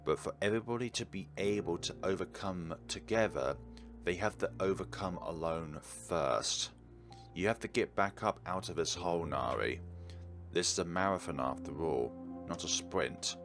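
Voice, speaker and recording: Male playthrough narrator, very low pitch with vocal fry, earnest theatrical reading, music bed. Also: moderate pace. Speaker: video game playthrough host. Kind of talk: dramatic read-aloud of game text with his own commentary.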